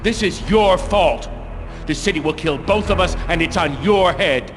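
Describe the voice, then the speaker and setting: A man's voice: high-pitched, lively intonation, normal speed, music in the background. A man playing a desperate, hot-tempered assassin on the run in a fantasy game, ranting and cursing. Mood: furious, desperate. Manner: ranting, cursing, forceful exclamations. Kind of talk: scripted fantasy game acting.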